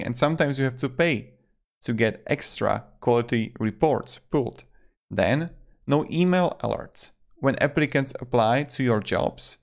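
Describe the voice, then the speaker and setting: A man in his twenties narrating a casual review as a video host, with loosely worded phrasing, speaking close to a desktop microphone in a room. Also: mid-range voice. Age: 20s. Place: small indoor room. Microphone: desktop microphone close in front of him.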